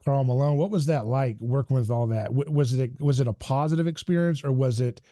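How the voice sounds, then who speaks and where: A man's voice: mid-range voice, fairly quick, warm tone, no echo, studio quality. A man hosting an interview, backing up his guest with frequent "yeah"s and asking questions with many restarts. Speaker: podcast host. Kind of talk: interview, casual chat.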